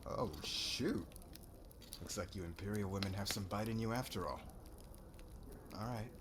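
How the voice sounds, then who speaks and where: A man's voice: fairly low pitch, moderate pace, noisy background, lightly acted. A man playing a cocky, flirtatious warrior commander in an ASMR roleplay, with a brash, teasing way of talking. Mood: smug, flirtatious. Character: cocky, flirtatious warrior commander, crude suitor turning contrite.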